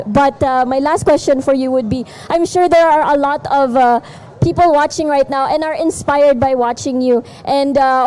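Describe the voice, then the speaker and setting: A high-voiced woman interviewing players on an esports broadcast, upbeat and chatty. She trips over words now and then and laughs them off. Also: warm tone, rough recording. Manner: upbeat, with small verbal stumbles. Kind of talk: post-match interview hosting.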